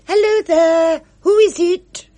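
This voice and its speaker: A woman voicing a cheerful, excitable little older woman who chatters merrily in a high, fluttery voice. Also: normal speed, husky. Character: chirpy little red-faced older woman.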